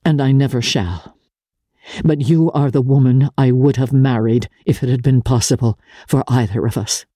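Woman as an earnest, halting young gentleman, husky voice. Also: rumbling low, no room sound, studio quality. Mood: grave, intense. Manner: halting, earnest, repeating words. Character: earnest, lovestruck young gentleman, hesitant and intense. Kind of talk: dramatic literary audiobook character reading.

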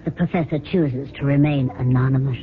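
A woman's voice: very deep voice, steady tone, brisk, slight background noise. A woman playing a cold, persuasive scheming girlfriend in a 1970s radio drama, insistent and matter-of-fact. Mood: brisk, businesslike. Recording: lo-fi phone-like old radio recording.

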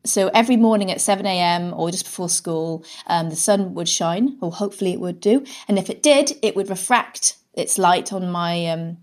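A woman's voice: on the low side, moderate pace, hardly any pauses, a little mellow. A woman with a British accent speaking casually as an interview guest, chatty and candid, explaining things through personal examples. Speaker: guest, scientist and writer.

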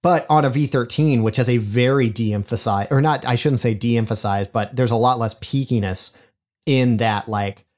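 A man's voice: mid-range voice, normal speed, a little mellow. A man with a nasal, honky voice, talking as a podcast guest in a chatty, self-deprecating way, recorded on a studio condenser mic. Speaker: podcast guest, microphone designer. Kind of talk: casual interview chat. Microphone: studio condenser mic in figure-eight pattern.

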